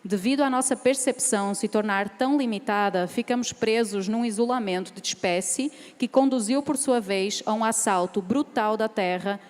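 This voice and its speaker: A woman speaks on a seminar panel in a measured, careful way. Her voice sits at a middle pitch. The sound carries a long reverb from a large hall. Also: a little mellow. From Portugal. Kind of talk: seminar talk with a read passage.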